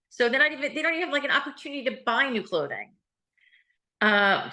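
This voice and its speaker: Female nonprofit founder, earnest, plain-spoken, lowish pitch, bright tone. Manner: earnest, plain-spoken, frequent fillers and restarts. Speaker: nonprofit founder, interview guest. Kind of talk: conversational interview answers.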